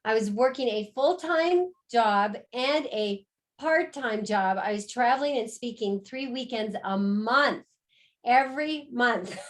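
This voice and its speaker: A middle-aged American woman, the co-host, tells her own story on a video call in a warm, upbeat sales style. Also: on the high side. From United States. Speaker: co-host and business presenter. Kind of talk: business pitch on a video call.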